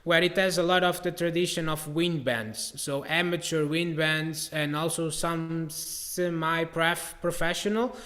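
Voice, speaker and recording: Portuguese male brass teacher, slightly high voice, unhurried, echoey room on a call. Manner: relaxed, halting, sings out note syllables to demonstrate. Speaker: trombone teacher giving a masterclass. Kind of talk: online masterclass. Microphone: video call mic.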